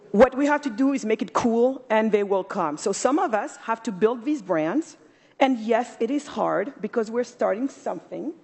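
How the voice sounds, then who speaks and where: A woman's voice: on the high side, darkish tone, breathy edge. A woman from Africa speaking emphatically and motivationally as an entrepreneur on a panel. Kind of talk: impassioned panel speech. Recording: echoey hall.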